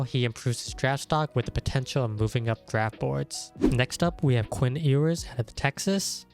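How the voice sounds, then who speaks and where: Congested man breaking down draft prospects. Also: medium pitch. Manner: methodical, list-by-list breakdown. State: congested, nasal from a cold. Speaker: draft analysis creator. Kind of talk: scripted sports analysis.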